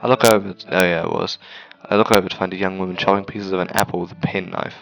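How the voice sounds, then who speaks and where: A man's voice: deep voice, steady tone, fast talker, vocal fry, a bit bright, lo-fi sound. A man hosting a gaming video, reading a visual novel aloud with casual asides and stumbling over words now and then.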